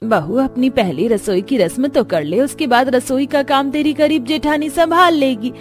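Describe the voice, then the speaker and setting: A woman's voice: high voice, normal speed, soft background music, close to an everyday voice. Woman playing a weary practical mother-in-law. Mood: reasoning.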